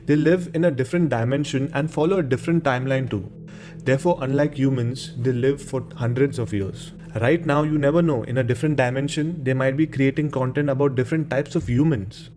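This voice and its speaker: A man's voice: mid-range voice, a little fast, darkish tone, slight background noise. Indian-accented man hosting a horror show. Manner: repeats words and phrases. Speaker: host of a horror radio show. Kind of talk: informal show hosting and storytelling.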